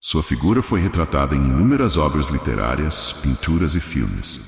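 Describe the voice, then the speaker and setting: A man's voice: very deep voice, expressive, moderate pace, vocal fry, faint music behind. A man with a Brazilian accent narrates a scripted religious story in a solemn, dramatic storytelling manner. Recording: echoey voice with long reverb.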